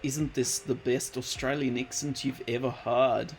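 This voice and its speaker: A man's voice: mid-range voice, flat delivery, a little slow, slight background noise, close to an everyday voice. A man putting on an exaggerated mock-Australian accent as a cheery bloke, full of matey slang. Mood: jokey.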